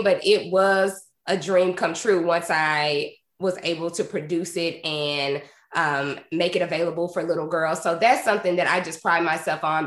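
A woman's voice: fairly low pitch, roomy sound. A woman in her thirties hosting a podcast, upbeat and chatty, sounding a little nasal from congestion. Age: 30s. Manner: upbeat, energetic, laughs often. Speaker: podcast host. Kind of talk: casual conversational interview.

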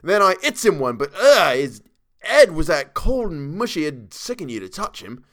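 A man's voice: fairly high pitch, very animated, moderate pace, pushed and bright, pitched above the performer's own voice. A man voicing a young Cockney English servant and ex-soldier, indignant yet deferential, with uneducated, emphatic speech, in a story reading.

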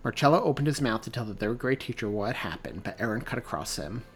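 A man, the author, reading his own story aloud in a steady, even audiobook narration. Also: mid-range voice, fast.

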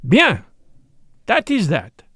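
A man's voice: high voice, huge pitch swings, noisy background, voice pushed up, exaggerated voice. A man voicing an elderly, courteous detective in a storybook, with a formal, pleading manner. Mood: stern appeal. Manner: formal, pleading, dramatic pauses. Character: elderly Belgian male detective, courteous, grave, insistent.

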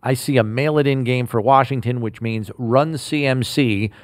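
A man hosting a sports podcast, running a trivia quiz and making picks in an upbeat, animated way. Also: mid-range voice, moderate pace, no echo, studio quality. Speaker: host.

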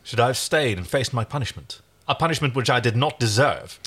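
A man's voice: mid-range voice, lively intonation, fairly quick, a bit bright, voice pushed up. A man reading as a guarded, defiant woman soldier.

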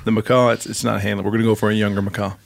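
Very low, fast-talking woman in a sketch. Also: flat delivery, creaky. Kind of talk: improv comedy sketch.

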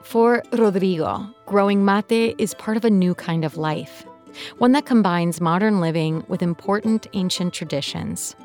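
Woman narrating calmly over soft music. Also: mid-range voice. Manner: measured, clear storytelling delivery. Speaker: podcast host and narrator. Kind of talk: scripted narration.

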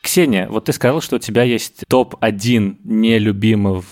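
A man's voice: lowish voice, normal speed, a little mellow, soft background music. A man hosting a casual film discussion, explaining his points in an informal conversational way. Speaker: podcast host.

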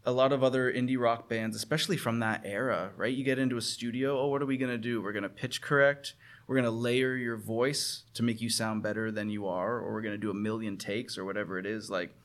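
A man's voice: mid-range voice, fairly even intonation, fairly quick. A man in a casual conversation about music, talking as a guest musician, earnest and enthusiastic, often rephrasing as he goes.

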